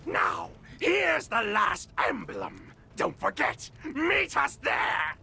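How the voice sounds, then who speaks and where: An actor voicing a goblin ringleader in a video game, croaky and strained, calling out orders. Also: male voice, very high-pitched, huge pitch swings, takes it slowly, pushed and bright, raised voice, slight background noise, exaggerated voice. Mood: commanding. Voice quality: gravelly, strained.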